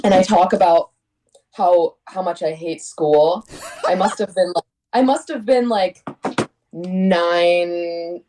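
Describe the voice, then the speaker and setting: A woman's voice: lowish voice, slow pace, noisy background. A young woman from Connecticut, a singer-songwriter guest, chatting casually with rambling restarts. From Connecticut, USA. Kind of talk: casual interview chat.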